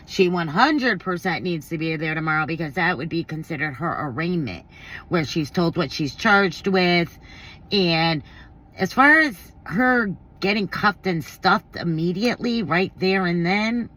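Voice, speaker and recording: A woman with a slightly low voice talks through her opinions like a vlogger. She is blunt and informal, reasoning aloud in everyday slang as she goes. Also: fairly bright tone, low-quality recording. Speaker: commentary vlogger. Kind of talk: casual opinion commentary on a court case.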